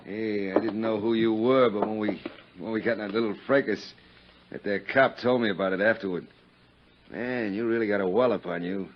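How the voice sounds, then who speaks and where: An actor in a 1950s radio drama playing a crude, boastful, jealous Chicago businessman, rambling and overfamiliar in folksy roughneck diction. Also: on the low side, fairly even intonation, moderate pace, slight background noise, natural voice. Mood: ingratiating. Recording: dull vintage 1950s radio recording.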